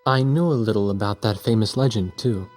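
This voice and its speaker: A man's voice: lowish voice, a little fast, natural voice. A man playing an earnest young war journalist in a game, narrating reflectively and asking sincere questions. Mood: reflective, somber. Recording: music behind the voice.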